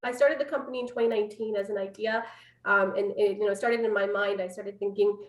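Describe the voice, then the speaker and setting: A woman's voice: medium pitch, steady tone, quick pace. A woman in her thirties with a Canadian accent, explaining her work conversationally as an interview guest over a video call from home. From Canada, born in Afghanistan. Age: 30s. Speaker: interview guest, public health scientist. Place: room at home. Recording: roomy, distant video-call microphone.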